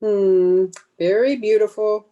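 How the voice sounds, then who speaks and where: A woman's voice: medium pitch, steady tone, slow, dark tone, lo-fi sound, close to an everyday voice. A woman playing a wistful, self-effacing young bridesmaid in an old-time radio drama. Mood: evasive.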